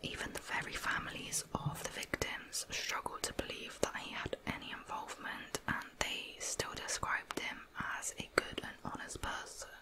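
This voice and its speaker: A woman whispering a true crime narrative in ASMR style, softly and in an unhurried, explanatory way. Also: poor audio.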